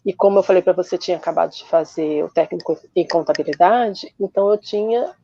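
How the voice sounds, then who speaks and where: A woman from Rio with a Carioca accent talks in a low, mellow voice. She explains things patiently, stopping often to find her words. The recording is dry and a little dull. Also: creaky, dry recording. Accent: Carioca Brazilian Portuguese. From Rio de Janeiro, Brazil. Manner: explains patiently with many pauses and fillers. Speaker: guest journalist. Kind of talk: casual podcast conversation.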